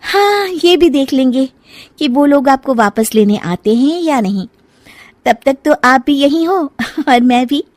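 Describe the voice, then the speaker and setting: A woman's voice: sits high, lively intonation, unhurried, dry recording, pitched above the performer's own voice. A woman voicing a caring, cheeky young daughter-in-law in a cartoon story, coaxing and pointed. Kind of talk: cartoon family story dialogue.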